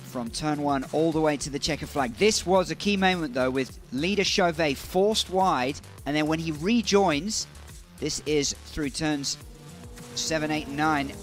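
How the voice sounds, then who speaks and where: A man giving live motorsport commentary as the race commentator, brisk and informative, leaning into the key moments. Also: a little high, faint music behind.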